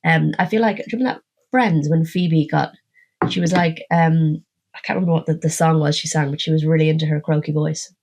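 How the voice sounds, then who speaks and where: A woman, co-hosting a casual football podcast with a bunged-up, nasal, croaky voice, chatty and self-mocking as she runs through the scores. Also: deep voice, steady tone, quick pace, darkish tone. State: congested, croaky voice. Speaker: podcast co-host.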